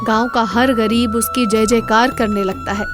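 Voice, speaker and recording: A woman's voice: a little high, steady tone, moderate pace, music in the background. A woman narrating a scripted moral story as the storyteller.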